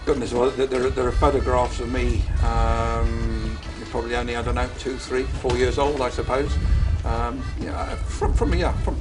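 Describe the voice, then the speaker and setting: Older man recalling, flat steady voice, music under. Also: medium pitch, low-quality recording. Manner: measured, repeats words as he recalls. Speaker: interviewee. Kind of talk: reminiscing.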